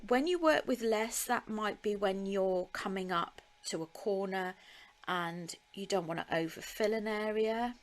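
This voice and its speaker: Woman, slow and chatty, live craft lesson, middle pitch, pauses with ums. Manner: chatty and slow, with laughs and ums, talking to viewers by name. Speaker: beading tutor. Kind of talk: live-stream craft tutorial.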